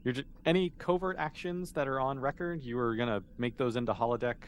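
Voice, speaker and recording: A man's voice: medium pitch, fast, slight background noise. A man running a tabletop role-playing game as game master, explaining things in a casual, encouraging way.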